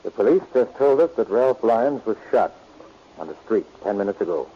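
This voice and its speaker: An actor playing a courteous, cautious bank manager in a 1940s radio drama. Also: male voice, fairly low pitch, animated, normal speed, background noise, close to an everyday voice. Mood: courteous, guarded. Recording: dull old radio recording.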